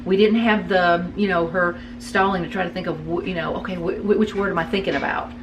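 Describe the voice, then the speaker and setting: A woman talking casually with her son, halting and repeating words as she searches for them. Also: lowish voice, steady tone, far from the mic, muffled recording, background noise. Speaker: guest, the host's mother. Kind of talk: casual family conversation.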